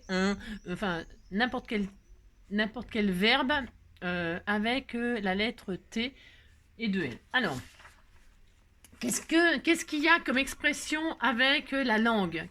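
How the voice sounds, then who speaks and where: A woman's voice: a little high, animated, a little slow, fairly bright tone. A woman hosting a craft video, chatting casually in a rambling, jokey way full of digressions. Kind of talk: casual chat.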